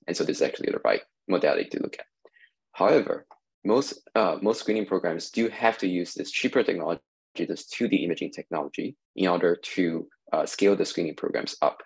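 A man giving a recorded conference talk over slides, measured and explanatory, with many "uh" fillers, on a webcam mic. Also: sits low, gravelly, slightly rough, low-quality recording. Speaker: industry researcher giving a talk.

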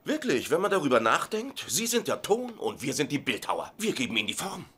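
A man's voice: on the high side, wildly swooping pitch, a little fast, bright, forceful tone, husky, heavily acted. A man acting in a German sitcom dub as an eager, insecure husband turned substitute teacher, hesitant and awkwardly chummy. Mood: self-important.

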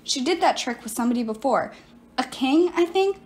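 A woman's voice: high-pitched, animated, a little fast, darkish tone. A woman voicing a defiant teenage girl hero in an audiobook, blunt and urgent. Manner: urgent, accusing.

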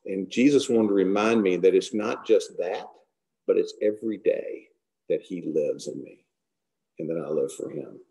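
Middle-aged male guest speaker, mellow low voice, earnest sermon-style talk, softly trailing phrases. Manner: earnest, unhurried, trailing off softly at line ends. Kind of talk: devotional talk and prayer. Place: large hall. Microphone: camera close below the face.

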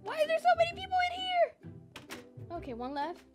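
Very high-pitched, playful young woman gamer. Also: huge pitch swings, fairly quick. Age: young adult. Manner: giggly, playful. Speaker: gaming video host. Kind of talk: playful gameplay roleplay chat. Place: indoor home gaming room. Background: music.

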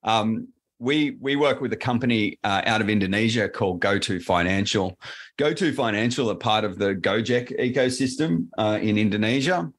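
Aussie guy, kinda low voice, lots of ums and restarts, explaining cloud stuff. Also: moderate pace. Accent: Australian. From Australia. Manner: rambling, many fillers and restarts. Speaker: presales solution architect, guest. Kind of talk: casual interview answers.

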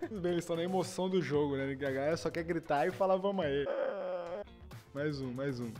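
A man's voice: on the high side, fast, soft background music. A man in his thirties with a Brazilian Portuguese accent, hosting a casual video reaction show and laughing often. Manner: laughs often, animated and playful. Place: small decorated room indoors. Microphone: furry-windscreen mic in front of him.

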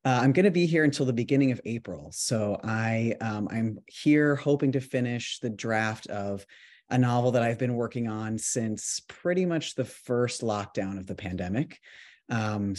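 A man from New York City giving a casual talk about his writing over a video call, relaxed and full of ums and restarts. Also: on the low side. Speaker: author giving a resident talk.

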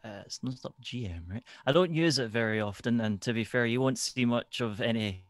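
A man with a medium-pitched voice talks casually on a stream, feeling out his sentences in a halting, tentative way. The recording is close and very dry. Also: dry recording. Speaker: stream co-storyteller and tabletop player. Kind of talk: casual livestream chat.

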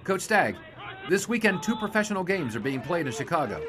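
An actor playing a wry, inquisitive 1920s American sports reporter in an audio drama. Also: male voice, sits high, big pitch swings, a little fast, a crowd in the background. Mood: probing. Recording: clearly noisy background ambience.

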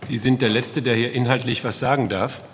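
A man's voice: mid-range voice, steady tone, brisk. Guy moderating a conference panel, off the cuff, reverby hall, noisy behind. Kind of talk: casual unscripted moderation.

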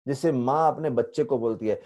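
A man in his forties with an Indian accent, giving an online spiritual lecture. He tells stories in a lively way and mixes English phrases into his Hindi. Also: medium pitch, normal speed. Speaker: spiritual teacher. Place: temple room indoors. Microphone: earphone headset mic on video call.

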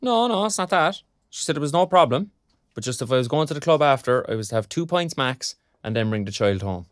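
A younger Irish man played by an actor in an audio drama, a good-natured, put-upon dad. His pitch moves around a lot as he chats in a colloquial way. The sound is close and dry. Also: brisk, no echo, lightly acted. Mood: rueful, animated. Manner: colloquial, animated.

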